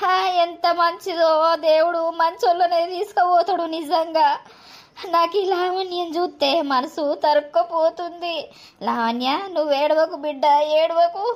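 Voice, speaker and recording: A woman's voice: very high-pitched, steady tone, measured pace, dull audio. A woman playing a kind, motherly village aunt who soothes a crying girl, with a Telangana Telugu accent. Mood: sympathetic. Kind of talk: amateur village drama acting.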